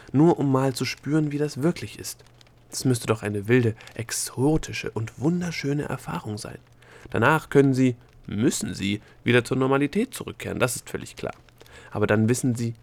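A man voicing an exasperated gnome in a storybook, loud and emphatic as he pleads and persuades. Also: mid-range voice. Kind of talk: storybook character dialogue.

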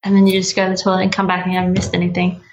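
A woman's voice: on the low side, completely flat delivery, rapid-fire, muffled recording. A young woman with an Australian accent, chatting as an interview guest in a bubbly, giggly way and drawing out words for emphasis. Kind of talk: casual interview chat.

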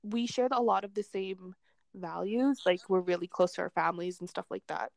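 A young married woman co-hosting a podcast, chatting casually with giggles and hesitant pauses. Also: on the low side, gravelly, a little mellow, no room sound. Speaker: podcast co-host. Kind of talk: casual chat.

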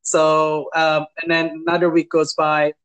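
A man's voice: on the high side, completely flat delivery, a little slow, a bit bright, clean tone, poor audio. A man working in property management, telling stories in a casual interview, hesitant with many fillers and restarts, chuckling now and then. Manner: amused, chuckles while telling.

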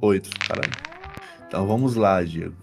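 A man's voice: low voice, fairly quick, vocal fry, soft background music. Brazilian man narrating a tabletop game. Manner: informal, uses slang and swearing. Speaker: game master. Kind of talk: narrating a tabletop roleplaying game, casual and improvised.

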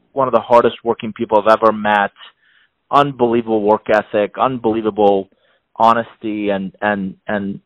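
A man's voice: on the low side, dry recording, lo-fi sound. Emphatic middle-aged man, dry sound. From Iran. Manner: animated, emphatic, with frequent restarts and repeated words. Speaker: executive advisor and author. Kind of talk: interview answers.

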